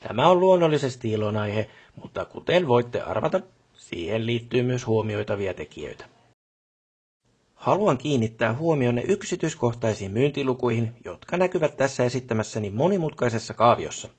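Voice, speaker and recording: A man's voice: medium pitch, lively intonation, darkish tone, breathy edge. A man playing a pompous middle-aged company boss in a video game, with a stiff, long-winded, bureaucratic way of speaking. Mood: formal, pompous. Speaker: job boss character. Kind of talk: formal scripted meeting speech in a video game.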